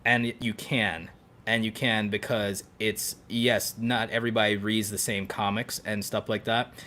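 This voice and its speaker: A man with a fairly low voice chats casually as a podcast co-host. He explains his ideas with enthusiasm, working through them aloud point by point. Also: level tone, measured pace, bright, forceful tone. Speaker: podcast co-host and content creator. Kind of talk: casual podcast chat, giving advice.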